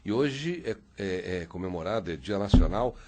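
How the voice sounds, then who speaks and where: A man's voice: low voice, expressive, normal speed, darkish tone, a little hiss or hum. A man hosting a radio interview, asking long, rambling questions full of fillers. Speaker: radio host.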